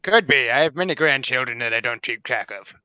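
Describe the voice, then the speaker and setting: Man playing a sharp, animated, crusty old adventurer. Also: mid-range voice, a little fast, no echo, lo-fi sound. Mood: teasing. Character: Amos, crusty, wary, wisecracking elderly adventurer. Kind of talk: tabletop roleplay.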